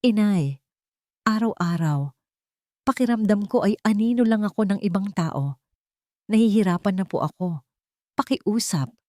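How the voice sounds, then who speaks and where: A woman's voice: medium pitch, expressive, measured pace, long pauses, a little mellow, dry recording, studio quality, natural voice. A woman voicing a distraught young woman who pleads desperately in a story. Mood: desperate pleading.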